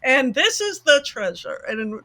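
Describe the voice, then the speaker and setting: A woman panelist in casual podcast talk, animated and thinking aloud in long runs. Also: high voice, unhurried.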